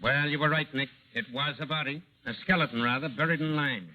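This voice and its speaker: An actor playing a brusque, harried, tough police inspector who talks in blunt, slangy bursts in an old radio drama. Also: male voice, fairly high pitch, a little fast, natural voice. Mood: businesslike, probing. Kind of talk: 1940s radio drama acting. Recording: scratchy, noisy, narrow-band vintage radio recording.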